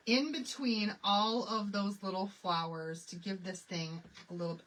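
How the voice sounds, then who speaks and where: Bright, chatty woman with a Michigan accent. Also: medium pitch, fairly even intonation, a little slow, a little hiss or hum, rough recording. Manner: chatty, laughs often, answers chat questions while working. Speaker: craft livestream host. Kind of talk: live crafting tutorial, chatting with viewers.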